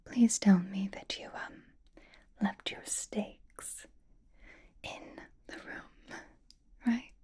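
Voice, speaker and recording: A woman playing a possessive, teasing female vampire in a whispered ASMR roleplay, breathy, coy, intimate and commanding. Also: medium pitch, steady tone, drawn-out words, pauses often, dark tone, full character voice. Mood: anxious, scolding.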